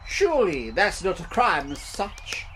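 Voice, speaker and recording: An actor playing a foolish, flattering, long-winded man in a comedy audio drama, speaking in a pompous, muddled way. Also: high-pitched, a little slow, slight background noise, heavily acted.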